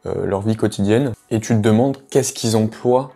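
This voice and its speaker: A man's voice: lowish voice, moderate pace, vocal fry, warm tone. A man giving a casual video lesson as an English teacher, talking straight to the viewer with many hesitations. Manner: chatty, addresses viewer directly, many hesitations.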